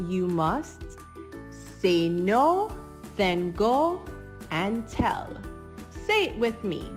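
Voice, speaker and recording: A woman delivering a scripted public safety message in a slow, deliberate, emphatic way. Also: on the high side. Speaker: public service announcement speaker.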